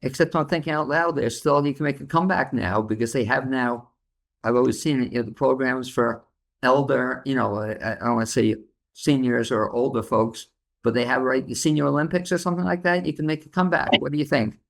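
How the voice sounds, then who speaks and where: A man's voice: mid-range voice, steady tone, brisk, gravelly. A man hosting a podcast interview, chatting in a loose, easygoing way with plenty of fillers. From New York City. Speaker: podcast host. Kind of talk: casual interview.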